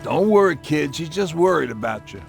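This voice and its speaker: A man playing an easygoing, cautious civilian survivor in a game, kindly, with a gravelly voice. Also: a little high, sing-song, normal speed, soft background music. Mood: reassuring. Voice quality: gravelly, creaky.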